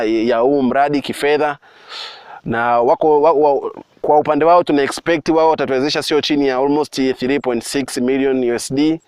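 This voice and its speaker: Fast-talking earnest Tanzanian man. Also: medium pitch, no echo, studio quality. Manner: earnest, persuasive, with restarts. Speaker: farm company spokesman. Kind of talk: documentary address.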